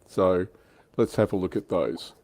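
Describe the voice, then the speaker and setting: A man with a low, soft-edged, dark voice hosts a hobby livestream. He talks casually and conversationally, as among fellow enthusiasts. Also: a little slow. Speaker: livestream host.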